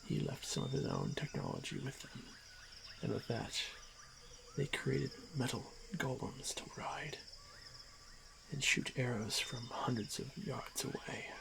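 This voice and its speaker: A man playing a stoic, honor-bound orc warrior who is gently protective, speaking in hushed, halting, formal phrases for a fantasy ASMR roleplay. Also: medium pitch, huge pitch swings, gravelly, heavily acted. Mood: measured, explanatory. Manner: hushed, halting, formal speech with frequent pauses. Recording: noisy track with night insect ambience. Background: crickets and insect ambience.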